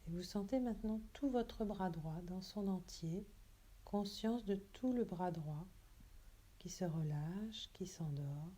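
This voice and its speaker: A woman yoga teacher leading a guided relaxation meditation in a soft, breathy, hushed and soothing, unhurried way. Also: on the low side.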